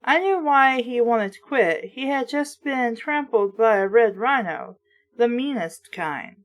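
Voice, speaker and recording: Woman, higher-pitched, very slow delivery, informal read-aloud host, snarky offhand asides. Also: animated. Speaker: host reading books aloud.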